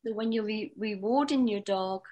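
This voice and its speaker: A woman dog trainer teaching a workshop in a calm, steady voice that she pushes from the diaphragm. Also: mid-range voice, normal speed.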